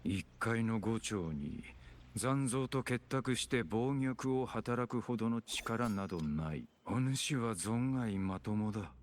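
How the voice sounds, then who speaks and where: An actor playing a stern, measured official who speaks in a formal, archaic, grave manner in an anime game. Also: male voice, lowish voice, expressive, lightly acted. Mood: measured, judging. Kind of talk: anime game voice acting in Japanese.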